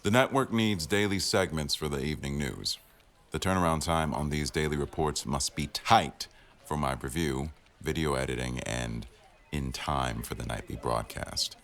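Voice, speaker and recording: Very low male voice, acted: demanding newsroom boss for audio roleplay, dry wit, firm authority. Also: exaggerated voice. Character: exacting, sardonic male executive news producer, the boss. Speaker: voice actor in a boyfriend audio roleplay. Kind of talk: scripted ASMR roleplay, addressing staff at a meeting.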